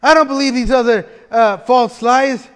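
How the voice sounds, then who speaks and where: A middle-aged man preaches from the pulpit of a large church. His voice is high for a man, and he talks in an animated, joking way. A long hall reverb trails every phrase. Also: normal speed, clean tone. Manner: animated, gesturing preacher with asides and playful humor. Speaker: pastor. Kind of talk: sermon.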